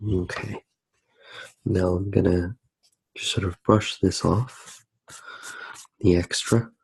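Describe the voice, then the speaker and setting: A man speaks as an ASMR artist guiding a drawing, in a hushed, halting way with pauses inside his sentences. His voice is dark and mellow, husky, and stays very even in pitch. He sounds close to the microphone as he works. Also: no room sound, poor audio. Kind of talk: ASMR drawing tutorial, narrated while working.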